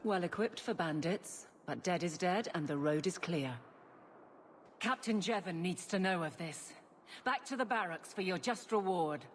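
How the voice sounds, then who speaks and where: Actress as principled, blunt guardswoman in a fantasy game. Lower register, resolute, reverberant sound. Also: expressive, moderate pace, a little hiss or hum, lightly acted. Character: stoic, principled, no-nonsense city guardswoman.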